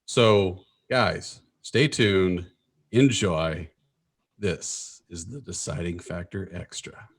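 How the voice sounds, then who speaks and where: A man's voice: deep voice, slow pace, slightly rough. A man co-hosting a casual podcast, teasing his co-host and joking around. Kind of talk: casual banter.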